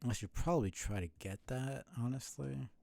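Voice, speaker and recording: A man's voice: mid-range voice, moderate pace, gravelly, mellow. A male streamer giving casual live commentary while playing a game, muttering and swearing as he goes.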